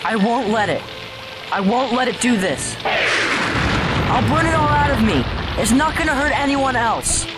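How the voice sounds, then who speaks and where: A man's voice: extremely high pitch, animated, measured pace, pauses often. A man playing a troubled teenage boy, anguished and defensive as he struggles with a possessing voice, in an audio drama. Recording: voice over prominent music.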